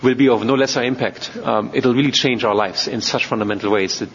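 A man from Germany with a German accent gives an informal technical talk as a robotics researcher, speaking haltingly with many ums and repeated words. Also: medium pitch, steady tone, a bit bright. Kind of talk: informal technical lecture to an audience. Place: large reverberant hall, speaking to an audience. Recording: muffled, noisy, reverberant hall.

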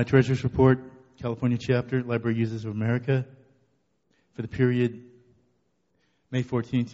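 A man reading out the treasurer's report at a club meeting, flat and matter-of-fact. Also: medium pitch. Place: meeting room. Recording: muffled echoey hall sound.

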